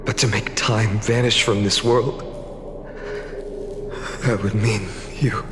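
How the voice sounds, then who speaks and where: An actor playing an earnest young male hero in a game cutscene, speaking in a measured, solemn way. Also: fairly low pitch, steady tone, noisy background. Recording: long reverb over clear music.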